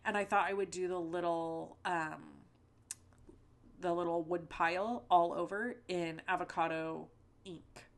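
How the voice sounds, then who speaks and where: A woman's voice: lowish voice, fairly even intonation, measured pace, creaky. A middle-aged woman hosting a card-making video, talking in a rambling, conversational way with lots of fillers and asides, her voice a little stuffy from a lingering cold. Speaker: card-making channel host. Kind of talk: chatty crafting tutorial voice-over with personal stories. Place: at home.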